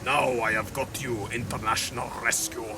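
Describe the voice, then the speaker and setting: A man's voice: medium pitch, fairly even intonation, moderate pace, vocal fry, close to an everyday voice. An actor playing a menacing, cackling male villain mastermind who gloats in an audio adventure story. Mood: gloating menace. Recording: loud music behind the voice.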